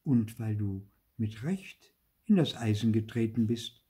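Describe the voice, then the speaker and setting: A man voicing a sweet fairy sister in a children's story, calmly and gently admonishing. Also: on the low side, measured pace, slightly rough. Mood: gently chiding. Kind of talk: dramatised children's storybook reading.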